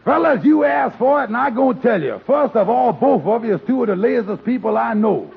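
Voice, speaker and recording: A blunt, scolding pal, high and rough-voiced, 1940s radio comedy, tinny broadcast sound. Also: male voice, animated, brisk, heavily acted. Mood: lecturing. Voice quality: gravelly, husky, ungrammatical dialect speech. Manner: lecturing, frank. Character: blunt, scolding male friend giving honest advice.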